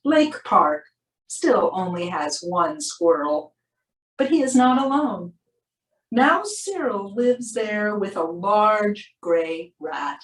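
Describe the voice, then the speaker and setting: Animated, unhurried woman reading in echoey room. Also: medium pitch. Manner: expressive, drawn-out emphasis. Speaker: animal shelter presenter reading a picture book. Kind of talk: children's storybook read-aloud. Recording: roomy, distant microphone.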